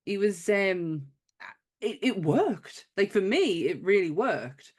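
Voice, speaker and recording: A woman's voice: mid-range voice, lively intonation, takes it slowly, darkish tone, breathy edge. A woman with a light Wearside accent from north-east England, co-hosting a podcast in giggly, rambling casual chat. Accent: light Wearside (Sunderland–Durham). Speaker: podcast co-host.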